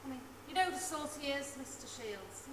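A woman's voice: high-pitched, animated, moderate pace, noisy background. A woman playing a fussing wife. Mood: coaxing. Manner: coaxing, wheedling. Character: fussing, worried wife of a drunkard. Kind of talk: stage play acting. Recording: echoey hall, far from mic, faint ambience.

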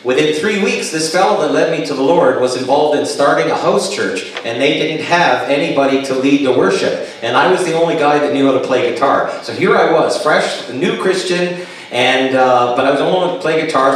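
A man's voice: on the high side, a little fast. A Canadian man in his late fifties preaching as a pastor, telling personal stories in a folksy, joking way. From Kitchener, Ontario, Canada. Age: late 50s. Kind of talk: sermon with personal testimony, anecdotal. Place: chapel, on stage at a pulpit. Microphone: stand mic over speakers, recorded by far camera. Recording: very echoey chapel, distant camera pickup.

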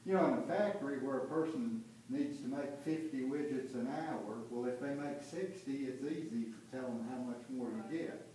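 A man's voice: mid-range voice, normal speed, few pauses, warm tone, a little hiss or hum. Older man explaining in an echoey chamber. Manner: explanatory, conversational. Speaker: university municipal advisor. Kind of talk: public meeting remarks. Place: council chamber, indoors. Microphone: far camera. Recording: echoey room from far off.

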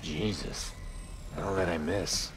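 Very deep, gravelly, weary vigilante. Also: male voice, slow, music in the background, full character voice, poor audio. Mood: dazed. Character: weary, wounded middle-aged male vigilante, terse and gruff. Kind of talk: video game cutscene acting.

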